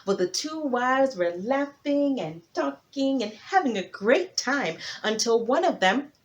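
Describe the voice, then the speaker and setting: A woman narrating a folktale as a storyteller, with theatrical emphasis and dramatic pauses. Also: sits high, expressive, muffled recording.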